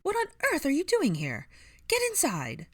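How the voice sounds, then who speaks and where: A woman voicing a shrill, bossy old woman who scolds insistently in an audiobook story. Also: wildly swooping pitch, normal speed, dry recording, studio quality, exaggerated voice. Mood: scolding.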